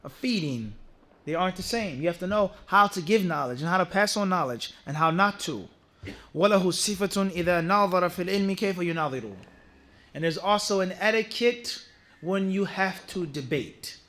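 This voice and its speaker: High-pitched man preaching emphatically. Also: lively intonation, rapid-fire, a bit bright. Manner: emphatic, rhetorical questions and repeated phrases. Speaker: Islamic preacher giving a lecture. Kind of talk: religious lecture to a congregation, teaching point by point. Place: mosque, speaking to a live audience.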